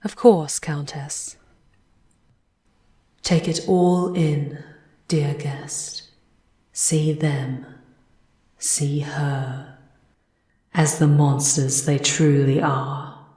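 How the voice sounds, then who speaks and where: Woman as a hushed, slow-spoken clockwork servant. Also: low voice, fairly even intonation, slightly rough, full character voice. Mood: hushed, ominous. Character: servant automaton seamstress, female, formal, softly ominous. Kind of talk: ASMR roleplay.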